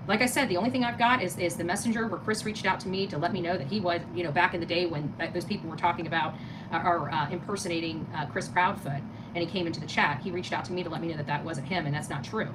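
A middle-aged American woman hosts a casual livestream from home. Her voice sits a little low and she talks quickly, pressing her points with indignant emphasis and repeating herself. Clear background noise runs under the phone recording. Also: steady tone. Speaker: live stream host. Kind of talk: casual livestream commentary, chatting with viewers. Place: small living room, indoors.

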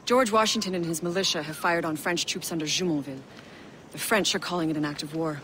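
An actor playing a brisk assassin ally. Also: medium pitch, fairly bright tone, a little hiss or hum, natural voice.

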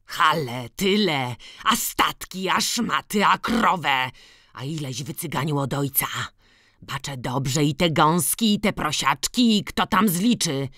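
A man voicing a stubborn, quarrelsome young peasant in an audiobook, blurting out loud indignant outbursts in rural dialect. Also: on the high side, a little slow, no echo, studio quality. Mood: angry, accusing. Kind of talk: dramatized audiobook reading of rural dialect dialogue.

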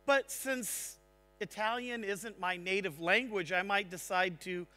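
A man speaking on a large conference stage, giving an energetic technical talk and checking in with the audience. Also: high-pitched, a little slow. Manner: energetic, engaging, asks the audience "right?".

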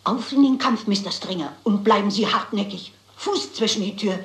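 An actress playing a shrewd, brisk elderly lady detective in a German crime radio play, polite and assured. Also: mid-range voice, reverberant, a little hiss or hum, close to an everyday voice. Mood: brisk, determined.

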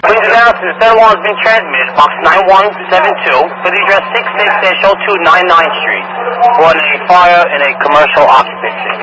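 A man's voice: sits high, a little fast. A man working as a fire dispatcher, speaking in terse, clipped, businesslike phrases over two-way radio. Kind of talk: terse radio dispatch, reading units and codes. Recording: noisy fire radio scanner audio.

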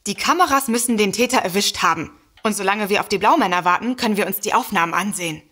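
A woman's voice: medium pitch, quick pace, bright, forceful tone. An actress playing an alert, forthright woman in a comedic audio drama. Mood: eager, alert.

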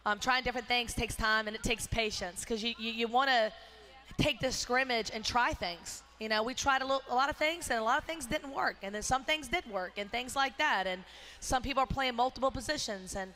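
A middle-aged woman, a basketball coach, talking in a postgame interview on a headset mic at courtside, energetic and emphatic with many fillers. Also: on the high side, fairly quick. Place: courtside in an indoor arena.

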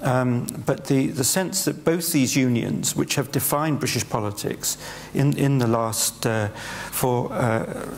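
A man's voice: mid-range voice, creaky. A man interviewing a guest in an on-stage public conversation, speaking hesitantly with many restarts. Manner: hesitant, halting. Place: large auditorium stage.

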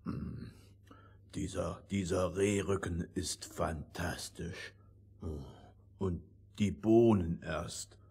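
An actor playing a simple, hearty, food-loving fellow in a fairy-tale radio play. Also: male voice, sits low, steady tone, drawn-out words, gravelly, a little mellow, husky, heavily acted. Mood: contented, dim.

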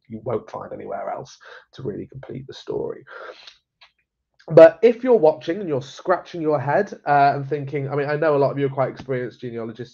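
A young man with a stuffy cold talks in a rambling, friendly way, full of fillers and repeated words. His voice sits in a middle pitch. The sound is a little dull, as if picked up by a webcam in a small room at home. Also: a little mellow. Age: young adult. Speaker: genealogy presenter. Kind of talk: casual live-stream explainer. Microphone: webcam at desk. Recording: slightly dull webcam audio.

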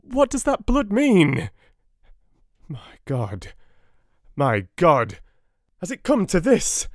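A man voicing a frantic young husband, shouting desperate pleas. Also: sits high, huge pitch swings, slow, no room sound, clean studio sound, pitched above the performer's own voice, exaggerated voice.

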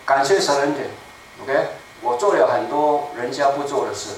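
A man in his seventies preaching a sermon in a small church, speaking slowly and emphatically and repeating his key phrases. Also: mid-range voice. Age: 70s. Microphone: room mic from a far camera. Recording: echoey room, distant mic, faint noise.

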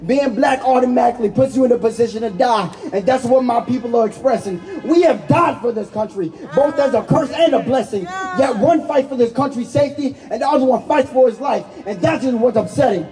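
Man, very high pitch, mellow tone, impassioned spoken-word delivery, emphatic repetition, activist poet. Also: fairly quick, few pauses, faint music behind. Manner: impassioned, emphatic, repeating key lines for effect. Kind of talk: spoken-word protest poetry.

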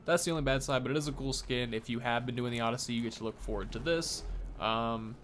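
A man's voice: mid-range voice, fast, fairly bright tone, slight background noise. A man in his thirties giving informal, loose game showcase commentary, thinking aloud as he plays. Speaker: gaming video creator. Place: small indoor room. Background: game music.

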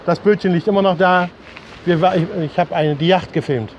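Man, older middle age, high voice, chatty host, repeats himself, noisy outdoor recording. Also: a little fast, dull audio. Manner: chatty, repeats his words. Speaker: vlog host. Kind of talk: casual chat to camera. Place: outdoor rooftop terrace by the sea. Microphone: camera a few steps away.

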